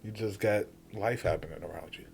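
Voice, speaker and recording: A man in his thirties co-hosting a podcast, musing in a laid-back casual way that now and then breaks into loud laughing rants. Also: low voice, brisk, creaky, slight background noise. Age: 30s. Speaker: podcast co-host. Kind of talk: casual chat.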